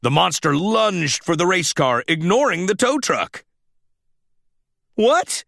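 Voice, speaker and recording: A man reading a children's story aloud as a scripted, animated narration. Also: fairly high pitch, a little slow, gravelly, no room sound, studio quality.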